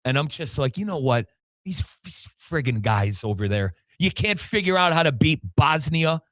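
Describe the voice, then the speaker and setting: A man's voice: a little high, very animated, normal speed, fairly bright tone, no room sound. Male sports radio host: opinionated, emphatic, repetitive banter, close dry studio sound. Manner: emphatic, repeats himself. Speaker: sports talk show host.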